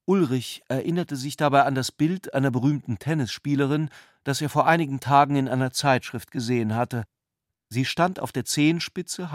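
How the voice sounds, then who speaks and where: A man's voice: medium pitch, no room sound, clean studio sound. A man reading scripted literary narration for a radio production.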